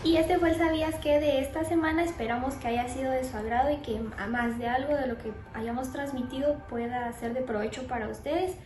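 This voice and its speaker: High-voiced woman co-host, echoey room. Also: fairly even intonation, brisk, few pauses, darkish tone, a little hiss or hum. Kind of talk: casual chat, closing segment.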